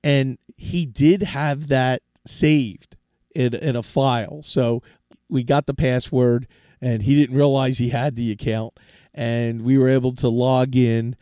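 A middle-aged man hosts his tech show in a relaxed, chatty way, often restarting his sentences as he gives advice. His voice is hoarse and fading from a sore throat, with a mellow, dark tone. It is recorded dry and close, with no room sound. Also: mid-range voice, takes it slowly, no room sound. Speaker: tech podcast host. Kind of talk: casual solo tech talk.

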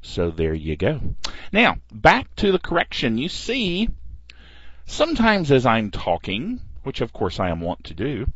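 Podcast host, man, chatty and wry, very lively pitch, dry dull-sounding recording. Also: measured pace, breathy edge, no room sound. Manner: lively, wry, chatty, reads listener email aloud. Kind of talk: casual podcast monologue.

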